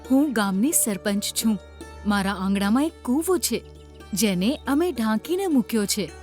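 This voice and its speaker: A woman's voice: a little high, lively intonation, normal speed, a little mellow, soft background music, natural voice. A woman voicing an earnest, trusting village headwoman in a children's cartoon, with a devout, pleading manner.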